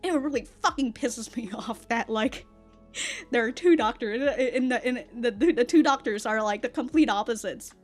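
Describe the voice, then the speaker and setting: A young woman on a casual, rambling rant, stammering and repeating words as she goes, with animated, sweary delivery. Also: on the high side, moderate pace, faint music behind. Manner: often laughs while talking. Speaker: online content creator.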